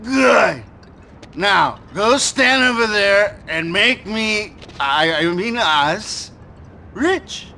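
A greedy street-corner Santa for a video game. He is blustering and shifty, with very drawn-out delivery and a bright, forceful tone. There is faint ambient noise behind him. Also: sits high, lively intonation, creaky, full character voice. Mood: scheming. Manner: blustering, stammering when evasive. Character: shifty, greedy grown man in Santa suit, gambler. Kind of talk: video game cutscene acting.

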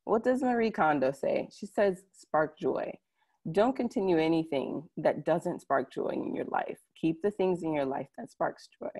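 Female webinar presenter, low register, conversational delivery that speaks directly to the audience. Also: lively intonation, unhurried, darkish tone, slightly rough. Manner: conversational, directly addressing listeners. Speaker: conference presenter. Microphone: computer mic on a video call.

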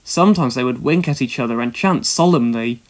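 A man reading a scripted children's story aloud as narrator, with expressive delivery. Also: mid-range voice, fairly quick.

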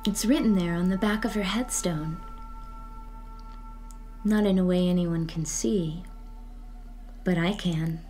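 An actress playing an eerie, secretive woman who believes in spirits, speaking in a measured, solemn, cryptic way in an audio drama. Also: on the low side, mellow, soft background music. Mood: quietly certain.

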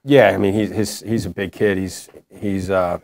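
A man in his forties, a head basketball coach, answering postgame questions in a halting way with many restarts. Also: low-pitched, fairly even intonation, darkish tone, no room sound, clean studio sound. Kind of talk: postgame press conference answers. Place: indoor press room before a logo backdrop. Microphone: lapel mic.

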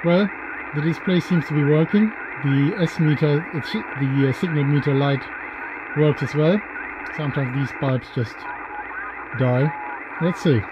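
A man in his fifties giving a casual gear demo as a hobbyist host, pausing hesitantly mid-sentence. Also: a little high. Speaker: radio hobbyist and video host. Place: small indoor room full of radio gear. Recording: dull lo-fi sound with loud radio noise.

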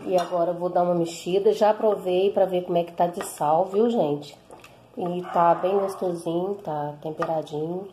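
A woman's voice: lowish voice, steady tone, normal speed, noisy background. A Brazilian woman explaining a recipe step by step at her home kitchen stove, filming one-handed with her phone and checking in with viewers constantly. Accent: Brazilian Portuguese. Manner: chatty, explains step by step, frequent tag questions. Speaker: home cook vlogger. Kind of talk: casual cooking tutorial. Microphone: handheld phone camera.

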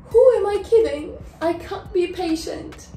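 A woman voicing an impatient, excitable young caterpillar in a children's storybook, with whiny, eager exclamations. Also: extremely high pitch, measured pace. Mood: despairing. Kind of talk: children's storybook read-aloud. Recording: roomy echo.

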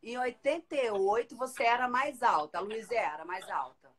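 A woman's voice: on the high side, moderate pace. A woman in her forties hosting a casual livestream from her living room, chatting and reading viewer comments into a phone held at arm's length. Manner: chatty, lively, reads out comments. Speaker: livestream host. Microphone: phone camera at arm's length.

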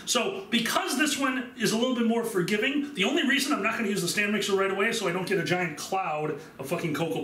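A young man giving a casual, joking cooking demonstration in his home kitchen, talking offhand with fillers. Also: sits high. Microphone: far camera. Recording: echoey kitchen, distant mic, loud mixer noise.

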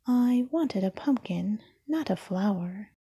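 A woman voicing a gentle, simple male teddy bear from a storybook, speaking softly and sadly in a whisper. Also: mid-range voice, animated, slow pace, husky, full character voice. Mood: wistful. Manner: soft, sad, whispery.

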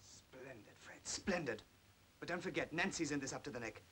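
An actor playing a smooth, manipulative upper-class English captain in his thirties in a 1940s British film drama. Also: fairly high pitch, animated, normal speed, fairly bright tone. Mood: pressing, cynical. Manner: suave, persuasive. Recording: hissy old film soundtrack.

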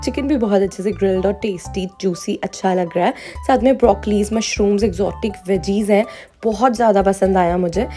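A woman's voice: mid-range voice, mellow. A woman giving a casual food review voice-over, switching between two languages. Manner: switches between Hindi and English. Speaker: vlogger reviewing a cafe. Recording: music behind the voice. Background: background music.

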